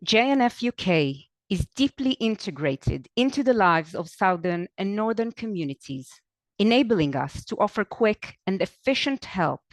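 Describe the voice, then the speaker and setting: A woman's voice: mid-range voice, dry recording. A woman hosting an interview, reading a formal, scripted introduction and then asking questions. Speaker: host.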